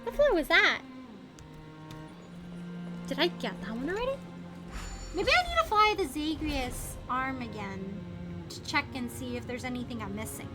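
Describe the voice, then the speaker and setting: A woman's voice: high-pitched, big pitch swings, normal speed, pauses often. A woman live-streaming a game, chatting casually to viewers and thinking aloud in a playful, exclamatory way. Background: music behind the voice.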